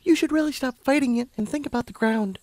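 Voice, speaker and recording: A man voicing a timid, sweet young female pegasus pony in a soft falsetto, hesitant and gently encouraging, for a story reading. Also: fast, dry recording. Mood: timid, concerned.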